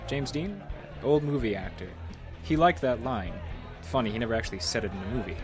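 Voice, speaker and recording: An unsuspecting, flirty man at a bar, played by a male actor in an audio drama. His pitch moves widely as he chats and teases, with music clearly playing behind him. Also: expressive, a little fast, crowd noise, natural voice. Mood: amused, flirty. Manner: teasing, chatty.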